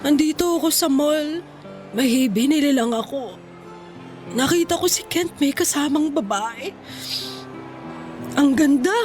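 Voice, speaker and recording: High-voiced sassy young Filipina. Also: female voice, measured pace, long pauses, darkish tone, soft background music. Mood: hurt, tearful. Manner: taunting banter with sarcastic edge. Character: sassy, jealous, heartbroken young single mother. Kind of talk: Filipino radio drama acting.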